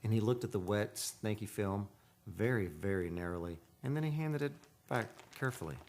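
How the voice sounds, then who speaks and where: A man's voice: lowish voice, expressive, a little fast, darkish tone, slight background noise. An actor playing an earnest, lovestruck man who narrates a haunted romance in poetic radio-play style. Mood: measured storytelling. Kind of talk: radio play acting and dramatic first-person narration. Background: music.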